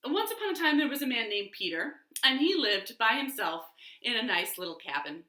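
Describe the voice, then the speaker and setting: A woman telling an interactive children's story in an animated way, drawing out words, in an echoey living room. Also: a little high, normal speed.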